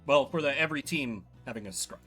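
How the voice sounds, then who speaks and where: A man's voice: medium pitch, fast, sharp tone, breathy edge, soft background music. A man giving casual livestream game commentary, musing and thinking aloud as he plays. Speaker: streamer playing and voicing a game.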